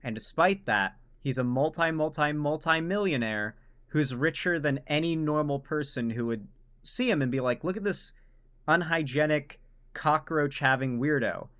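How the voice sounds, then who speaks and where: A man's voice: fairly high pitch, moderate pace, a bit bright, clean tone. A man hosting a reaction commentary, talking in an emphatic, mocking rant. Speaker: commentary host.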